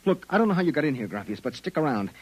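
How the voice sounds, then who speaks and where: A panicky, fast-talking private eye in a 1950s radio drama. His high, husky voice swings wildly in pitch as he stammers and blusters. The sound is close and dry. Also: dry recording, low-quality recording. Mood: nervous bluster. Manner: nervous, stammering, excitable.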